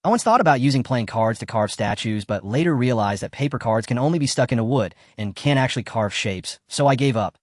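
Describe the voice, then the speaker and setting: A man voicing a calm, awkward young director. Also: mid-range voice, fairly quick, hardly any pauses, dry recording, studio quality, natural voice.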